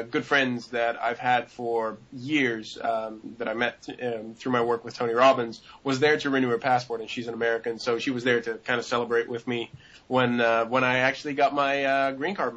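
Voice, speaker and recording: A man's voice: mid-range voice, fairly bright tone. A young man answering interview questions casually, rambling with many fillers and restarts. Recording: dull lo-fi audio.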